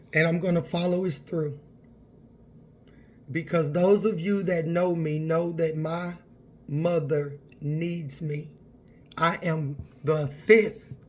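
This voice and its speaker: A woman's voice: low voice, darkish tone, a little hiss or hum. A woman, talking candidly and earnestly to her viewers in a personal vlog. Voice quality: raspy. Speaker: vlogger.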